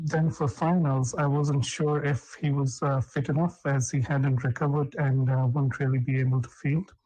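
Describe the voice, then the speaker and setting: A man's voice: mid-range voice, mellow, low-quality recording. A man reading a recorded tribute message steadily, with some filler sounds. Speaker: teammate sending a message.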